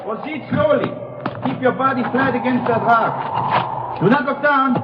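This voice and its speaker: Radio villain: treacherous mountain guide, notably high voice, formal and commanding, gloating killer, dull vintage sound. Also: male voice. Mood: commanding, terse. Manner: deliberate, formal, commanding phrasing. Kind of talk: old-time radio drama acting. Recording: noisy, muffled old radio recording.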